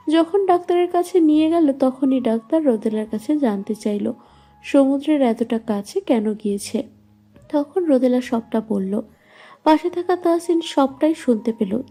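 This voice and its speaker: Very mellow, higher-voiced woman narrator. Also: breathy edge. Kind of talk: scripted romantic story narration. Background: faint music.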